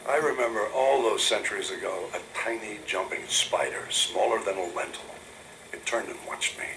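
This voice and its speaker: Low, echoing, coldly reverent android. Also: male voice, moderate pace, pushed and bright, a little hiss or hum. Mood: reverent, musing. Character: cold, reverent android scientist, centuries old, admiring monsters. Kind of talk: scripted video game audio log.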